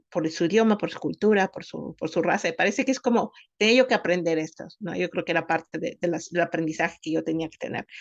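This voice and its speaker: A woman, co-hosting a podcast and speaking thoughtfully in halting phrases. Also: fairly low pitch, gravelly, dry recording, muffled recording. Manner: thoughtful, halting, many restarts. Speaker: podcast co-host. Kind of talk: casual conversational podcast.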